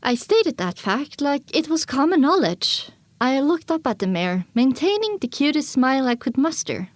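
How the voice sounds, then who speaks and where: A woman's voice: high-pitched, big pitch swings, normal speed, no echo. A woman narrating an audio drama in the first person, with a dramatic scripted delivery.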